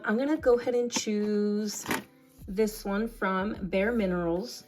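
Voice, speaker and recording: A woman with a hoarse voice from a cold, chatting casually as she picks makeup for a beauty vlog. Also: mid-range voice. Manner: chatty, relaxed, picking products aloud. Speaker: beauty vlogger.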